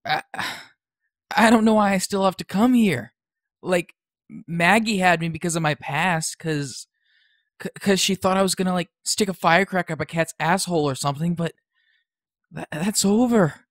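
A troubled high school boy in an audio drama played by a man, with a fairly high male voice in a dry recording. He is sarcastic and defensive, stumbling over his words. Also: moderate pace, a bit bright, dry recording. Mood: defensive, angry. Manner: hesitant, stammering, defensive.

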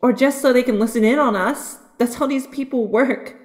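A woman's voice: on the high side, normal speed, a little mellow, natural voice. A woman playing a frightened young female hero held captive in an audio drama, earnest and worried. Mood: distressed. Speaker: voice actor.

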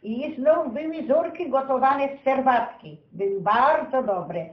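Elderly woman, high-pitched and unhurried. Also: lively intonation. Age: 70s or older. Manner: folksy, uses many diminutives. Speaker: storyteller recalling village childhood. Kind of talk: unscripted oral storytelling, reminiscence. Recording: roomy, slightly dull sound.

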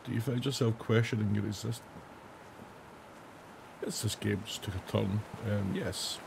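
Guy, 30s, voice wrecked and raspy, gravelly and lowish, headset mic, noisy background, jokey lets play chat. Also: steady tone, normal speed. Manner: reads on-screen questions aloud and answers them, jokey. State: hoarse from days of singing. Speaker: gaming channel host. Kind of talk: casual let's-play commentary. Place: home room, indoors.

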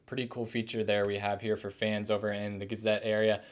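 A man's voice: lowish voice, completely flat delivery, brisk. A young man hosting a sports recap, reading out scores in a scripted news style. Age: young adult. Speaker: sports show host. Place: small indoor room, plain wall.

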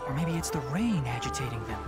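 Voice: mid-range voice, big pitch swings, fast, lightly acted. An actor voicing a studious, composed young male forest ranger who talks precisely and thoughtfully in a game trailer. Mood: puzzled, resolved. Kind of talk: game trailer character monologue. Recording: loud music over the voice.

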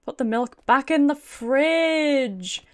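A woman's voice: high-pitched, expressive, slow pace. A British woman giving casual, playful, sweary live commentary as she streams a video game. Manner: playful, sweary, chatting to viewers.

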